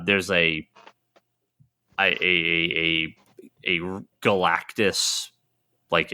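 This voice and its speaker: Low, bright-voiced man, halting and rambling. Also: smooth. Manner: halting, rambling, thinking aloud. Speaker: podcast co-host. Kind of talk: casual chat about comics and films.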